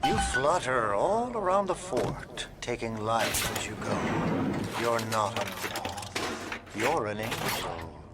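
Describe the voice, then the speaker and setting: An old male artist from a video game, flamboyant, unhinged and murderous. His delivery is slow and florid, with huge theatrical swings in pitch. Loud music plays behind him. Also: expressive. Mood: gleeful, menacing. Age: older. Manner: theatrical, florid, swinging between purring and shrieking. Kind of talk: dramatic video game acting.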